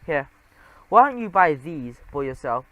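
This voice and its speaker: A man voicing a greedy, whiny and pushy male mouse cousin in an audiobook, loud and bossy. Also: fairly high pitch, sing-song, measured pace, dry recording, muffled recording. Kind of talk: audiobook character voice.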